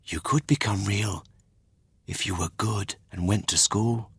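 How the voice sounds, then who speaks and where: A man voicing a gentle, motherly grown-up fairy in a children's storybook. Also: fairly low pitch, measured pace, breathy edge, no room sound, full character voice. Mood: soft, kindly.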